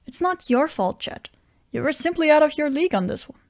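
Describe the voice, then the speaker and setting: Lady acting as earnest schemer buddy telling a story, voice on the high side, really dry recording. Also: expressive, fairly quick, darkish tone, no room sound. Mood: consoling. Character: earnest, scheming friend named Bill, narrating the tale. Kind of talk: audio drama dialogue and first-person narration.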